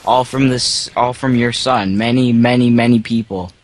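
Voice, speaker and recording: A man acting as an earnest website manager on a prank phone call. His voice sits at an average pitch, and he explains at length, repeating words to press his point. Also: fairly even intonation, normal speed, close to an everyday voice. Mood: insistent. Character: earnest male website manager reporting user complaints. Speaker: prank caller.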